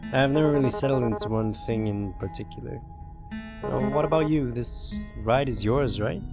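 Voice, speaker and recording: Jokey man reading a game over music. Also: medium pitch. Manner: jokey, informal, swears, drifts into asides. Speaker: host of a gaming channel, reading a visual novel. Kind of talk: narrated playthrough with dramatic reading and casual asides.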